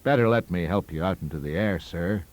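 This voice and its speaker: A man's voice: sits low, fairly quick, darkish tone, close to an everyday voice. A man plays a big former sailor working as a college porter in a spoken story. He is stolid and deferential, offering help in a matter-of-fact way.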